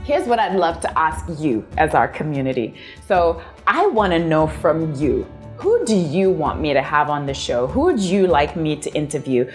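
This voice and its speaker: A young woman from Zimbabwe, with Shona as her first language, hosts an interview and keeps affirming her guest at length, leaning on emphatic phrasing. Her voice sits a little low. Faint music plays behind her. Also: sing-song, normal speed, hardly any pauses. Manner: affirming, emphatic, speaks in long run-on questions. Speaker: interviewer and show host. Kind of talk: warm encouraging interview and channel outro.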